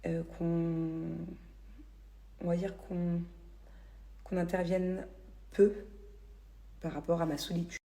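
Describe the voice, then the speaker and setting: A woman's voice: lowish voice, flat delivery, takes it slowly, long pauses, mellow. A woman from the Paris region casually telling stories to her followers on a phone, with many fillers and restarts. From Paris region, France. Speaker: vlogger.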